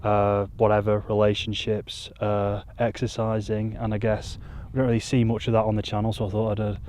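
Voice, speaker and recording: Monotone young man outdoors. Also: fairly low pitch, fairly quick, a little hiss or hum. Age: 20s. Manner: monotone, self-deprecating, rambling. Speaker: YouTuber. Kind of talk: casual vlog talk to camera. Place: outdoors on a hilltop. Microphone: mic with furry windscreen.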